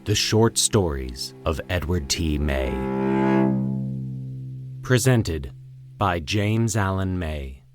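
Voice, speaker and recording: A man with a low voice delivers scripted horror narration over a music bed. His pitch moves dramatically through each phrase, and his delivery is formal, deliberate and theatrical. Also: lively intonation. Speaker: host and narrator of a fiction podcast.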